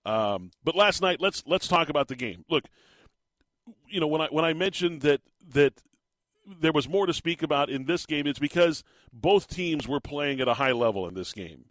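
Slightly raspy sports radio host. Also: male voice, a little high, expressive, moderate pace, a bit bright, dry recording. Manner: chatty, rambling with fillers and restarts. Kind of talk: morning sports talk radio.

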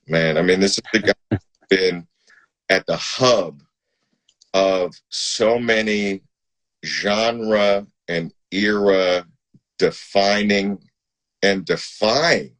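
A man hosting a casual live-stream interview, talking in an admiring, emphatic way. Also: low voice. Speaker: host.

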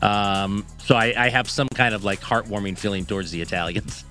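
A man co-hosting a sports talk show, rambling and joking in an animated, casual way. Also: fairly low pitch, brisk, soft background music.

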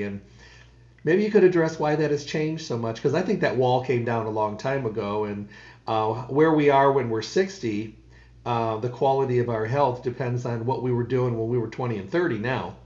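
A man's voice: mid-range voice, darkish tone, reverberant, muffled recording. A man hosting a radio talk show, folksy and rambling with many restarts. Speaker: radio host. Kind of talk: casual radio chat.

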